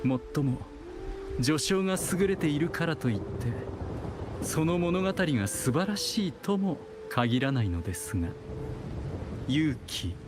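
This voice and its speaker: An actor playing a detached, wry chronicler in a video game, narrating in a polite, formal and measured way. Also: male voice, on the high side, big pitch swings, music in the background. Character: composed, detached male chronicler narrating a heroine's saga.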